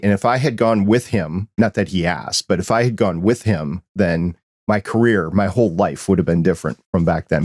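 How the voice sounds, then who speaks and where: A middle-aged man with a Midwestern American accent hosting a podcast, friendly and animated as he tells stories. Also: fairly low pitch, dry recording, studio quality. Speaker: podcast host. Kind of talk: casual conversation.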